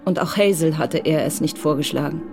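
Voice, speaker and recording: A narrator reading a radio crime drama in a measured, even way. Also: medium pitch, creaky. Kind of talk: scripted narration. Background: music.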